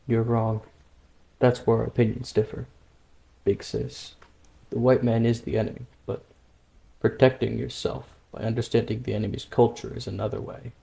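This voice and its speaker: An actor playing a calm, independent young tribesman, a stubborn younger brother, in a dramatic manga dub with an earnest, measured manner. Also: mid-range voice, creaky, close to an everyday voice, rough recording. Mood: firm, earnest.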